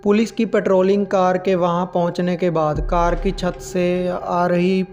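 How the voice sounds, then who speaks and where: A man's voice: sits high, flat delivery, a little slow, darkish tone, soft background music. A man narrating scripted horror stories as the host of a storytelling channel.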